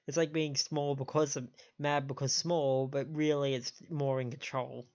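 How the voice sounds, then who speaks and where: A man's voice: medium pitch, flat delivery, moderate pace, darkish tone, lo-fi sound. A man streaming a game with casual, chatty, explanatory commentary. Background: game music.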